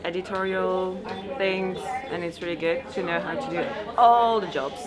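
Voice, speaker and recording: Low-voiced young woman in a noisy crowd. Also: moderate pace. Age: young adult. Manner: reflective, searching for words. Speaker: journalism student. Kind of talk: documentary interview answers. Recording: loud crowd chatter behind.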